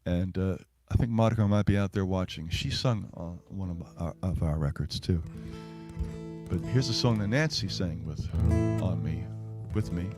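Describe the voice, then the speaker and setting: An American man, a singer-songwriter chatting casually between songs at a live show, halting and rambling in a self-deprecating way. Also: sits low, a little mellow, in a large hall, soft background music. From United States.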